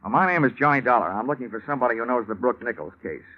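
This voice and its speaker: An actor playing a hard-boiled American insurance investigator in an old-time radio drama, wry, slangy and terse. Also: male voice, medium pitch, animated, rapid-fire, close to an everyday voice. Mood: brisk, urgent. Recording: muffled vintage radio recording.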